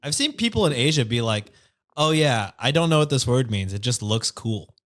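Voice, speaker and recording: A man's voice: medium pitch, big pitch swings, moderate pace, fairly bright tone. A man chatting casually as a podcast guest, jokey and swearing freely. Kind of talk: casual chat.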